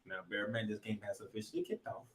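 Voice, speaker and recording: A man hosting a sports podcast, giving excitable football commentary in an announcer-like style with drawn-out, emphatic phrases. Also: on the low side. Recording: echoey room, music underneath.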